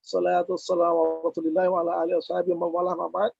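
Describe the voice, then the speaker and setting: A man teaches a religious lesson while hoarse from a cold, his voice high and gravelly. He speaks in a measured way and recites Arabic passages. The sound is dry and muffled, like an online call. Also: completely flat delivery, a little mellow, no room sound. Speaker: Islamic teacher. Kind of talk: religious lecture.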